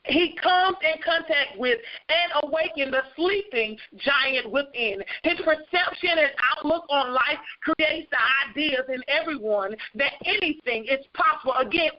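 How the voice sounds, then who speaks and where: Female call host, high voice, upbeat scripted introduction, muffled conference-line sound. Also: measured pace, hardly any pauses. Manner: enthusiastic, energetic. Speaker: host introducing a guest speaker. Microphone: phone conference line.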